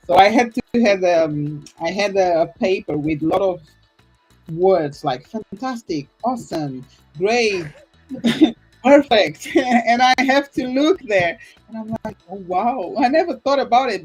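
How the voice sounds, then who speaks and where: A woman's voice: medium pitch, expressive, a little slow, a little mellow, husky, faint music behind, low-quality recording. A woman from Portugal with a Portuguese accent, a podcast host who speaks English as a second language, laughing often and searching for words. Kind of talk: casual interview chat.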